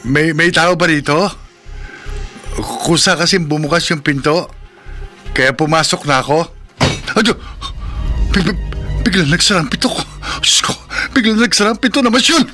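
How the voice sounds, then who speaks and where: A jittery, frightened taxi driver in a horror radio play. The voice is high and bright, stammering and breathy with nerves, over faint music. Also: male voice, moderate pace, pauses often. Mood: terrified. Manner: stammering, breathless, self-narrating. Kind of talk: horror radio drama acting.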